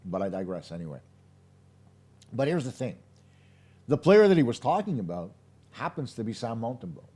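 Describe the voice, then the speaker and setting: A man with a hoarse, slightly worn voice breaks down a hockey game for his live audience. He is blunt and opinionated, pressing his points hard and speaking right to his viewers. His voice sits in the middle range for a man. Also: medium pitch, sing-song, normal speed, dark tone. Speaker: hockey podcast host.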